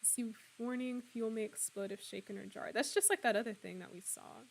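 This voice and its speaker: Chatty, slightly hoarse young woman streamer. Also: a little high, a little fast. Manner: chatty and upbeat, laughs often. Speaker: streamer playing a horror game. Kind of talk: casual live-stream chat, reading out viewer comments.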